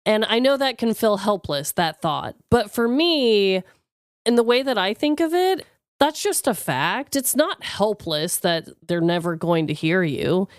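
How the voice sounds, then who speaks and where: A woman with a smooth, clear voice and very lively intonation chats as a podcast co-host. She is expressive and informal, recorded close and dry. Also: medium pitch, measured pace, dry recording. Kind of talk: casual chat.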